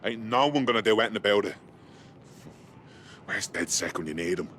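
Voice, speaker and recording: An actor playing a jaded, sardonic detainee in a video game, with a British accent and a world-weary manner. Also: male voice, on the low side, expressive, fairly quick, a bit bright, slight background noise. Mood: bitter, resigned. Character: jaded, sardonic male detainee with a bootleg drink. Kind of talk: dramatic video game acting.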